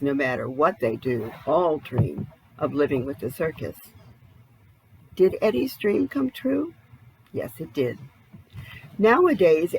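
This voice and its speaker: A woman's voice: low-pitched, background noise. A woman narrating a children's storybook expressively, with a dramatic read-aloud delivery. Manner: dramatic, asks the listener questions. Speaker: storybook reader.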